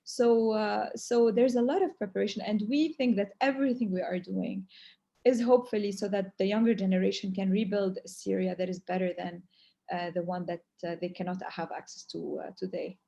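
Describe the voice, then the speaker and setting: A woman's voice: mid-range voice, steady tone, fairly quick, gravelly, dark tone. A woman whose first language is Arabic, answering questions at length as a humanitarian speaker in a webinar, earnest and hesitant in phrasing with Arabic filler words.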